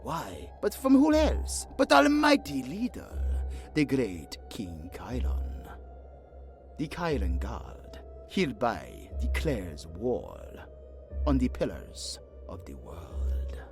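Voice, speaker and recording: A sly dark elf emissary drawls with mocking courtesy, his pitch swooping wildly. The pacing is slow and theatrical, as in a fantasy audio drama, with soft music behind him. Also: huge pitch swings, heavily acted. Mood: gleeful provocation. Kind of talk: fantasy audio roleplay acting.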